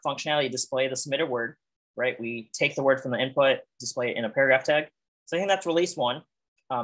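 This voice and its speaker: A man running an online coding lesson, walking through each step patiently and thinking aloud with small fillers. His voice is wearing thin and getting hoarse, and he sits at a pitch that is neither high nor low, talking into a computer mic on a video call. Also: a bit bright, low-quality recording. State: losing his voice. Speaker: coding instructor.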